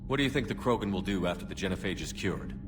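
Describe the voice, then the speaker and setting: An actor playing a steadfast human military commander in a video game, speaking firmly, directly and seriously. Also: male voice, low-pitched, expressive, quick pace, recorded from a distance, over music. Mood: probing. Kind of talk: video game cutscene acting.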